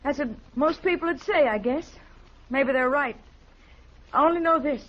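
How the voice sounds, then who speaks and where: A woman's voice: high-pitched, normal speed, lightly acted. A woman playing a resolute, rueful young frontier bride, earnest and dramatic, in a 1950s radio western. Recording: dull old radio recording.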